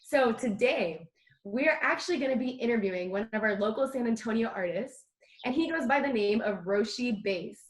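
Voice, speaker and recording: A woman's voice: on the high side, animated, normal speed. A young woman opening an online festival and hosting an interview over a video call with an upbeat, welcoming manner. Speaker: interview host for a student festival.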